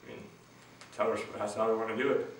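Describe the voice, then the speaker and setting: A young man, a college basketball player, answering postgame interview questions in a plain, earnest, slightly halting way. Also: fairly low pitch, little pitch movement. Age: young adult. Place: small room at a team backdrop. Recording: echoey room, distant mic, faint hiss.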